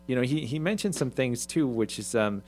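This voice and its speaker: A man hosting a talk show, summarizing an article in a halting way, with many ums and restarts. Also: medium pitch, expressive, darkish tone, faint music behind. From Irish, Swedish ancestry mentioned. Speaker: radio show host. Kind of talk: casual talk show discussion.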